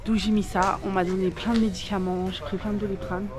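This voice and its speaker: A woman speaking as a travel vlogger, in casual, expressive, slangy chat. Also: medium pitch, fast talker.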